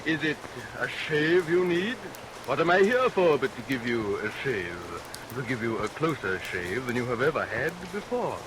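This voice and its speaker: An actor playing a murderous, falsely sweet barber, unctuous and theatrical, in vintage radio melodrama style. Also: male voice, mid-range voice, sing-song, moderate pace, background noise, natural voice. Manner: unctuous, mock-polite, theatrical. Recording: vintage radio recording under loud rain.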